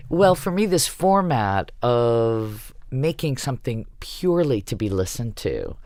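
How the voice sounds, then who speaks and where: A man's voice: mid-range voice, big pitch swings, measured pace, darkish tone, no room sound. A man who works as a theater director, speaking reflectively and effusively in a panel discussion.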